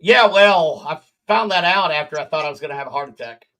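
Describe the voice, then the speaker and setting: A man's voice: on the high side, animated, fast, bright, forceful tone. A man hosting a casual podcast over a video call, joking and rambling with his guests. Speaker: podcast host. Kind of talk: casual chat on a livestream.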